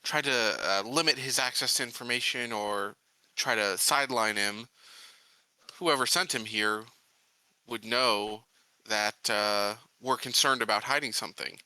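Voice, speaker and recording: A man improvising in-character dialogue in a tabletop roleplaying game, speaking in a measured, tentative way. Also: medium pitch, pushed and bright, clean tone, no echo, rough recording.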